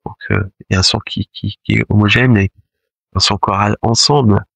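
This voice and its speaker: A low-voiced man with a gravelly, creaky edge, speaking French as his second language. As a choir director in a relaxed interview, he talks hesitantly with lots of fillers and restarts. The sound is close and dry. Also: a little fast, no echo. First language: not French; French is his second language. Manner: hesitant, many fillers and restarts, laughs often. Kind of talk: casual interview conversation.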